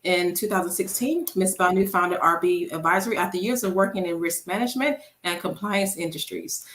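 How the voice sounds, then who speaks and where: A woman's voice: lowish voice, a little fast, fairly bright tone, echoey room. A woman hosting a conference, formally introducing the next speaker from a prepared bio. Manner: formal, reads out speaker bios. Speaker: conference host.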